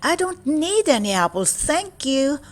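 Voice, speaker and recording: A woman's voice: high-pitched, sing-song, unhurried, pitched above the performer's own voice. A woman reading the part of a sweet, polite young girl in a fairy tale. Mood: wary.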